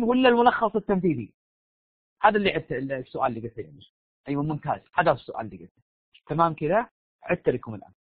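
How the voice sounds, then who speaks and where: Male Saudi online lecturer, high-pitched and quick, tired strained voice, informal, repetitive, prodding. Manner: informal, repeats phrases, prods listeners for answers. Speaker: university lecturer. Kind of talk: live online lecture, questioning students. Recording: dull lo-fi online audio, roomy echo.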